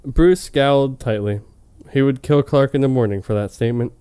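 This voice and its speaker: A man's voice: medium pitch, moderate pace, warm tone, clear, resonant tone. A man reading fan fiction on a comedy show in a hammy, mock-dramatic way, with casual, amused asides. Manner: hammy, amused, breaking off to comment.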